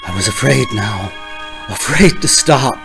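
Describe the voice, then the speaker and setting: A man's voice: mid-range voice, lively intonation, a little slow, gravelly, a bit bright, soft background music. A man acting a haunted, desperate driver in an old radio suspense style, melodramatic and prone to stammering. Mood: haunted, brooding. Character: frantic, haunted male driver on a lonely road.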